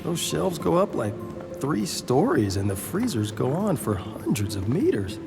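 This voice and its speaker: A breezy officer in charge, casual and jokey, for an audio drama. His voice sits fairly high and moves around a good deal, in a long reverb. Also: wildly swooping pitch, unhurried, creaky, over music, full character voice. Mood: amused. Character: breezy, curious commanding male officer, easygoing.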